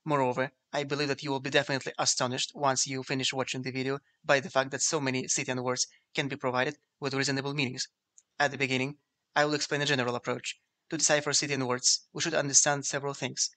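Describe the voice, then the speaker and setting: Fast-talking man with a flat, bright delivery. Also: mid-range voice. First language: Ukrainian. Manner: measured, explanatory, reading from a script. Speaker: video essay narrator. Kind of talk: scripted lecture narration.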